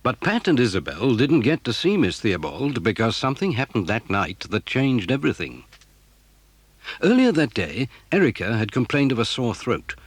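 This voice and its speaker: A man's voice: mid-range voice, slightly rough, no room sound. A man with an English accent reading scripted storybook narration for a children's audio drama.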